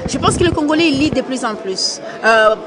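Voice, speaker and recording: A woman's voice: high-pitched, expressive, normal speed, noisy background. A woman author answering interview questions hesitantly, with many fillers. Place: indoor book fair hall. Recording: busy crowd chatter behind.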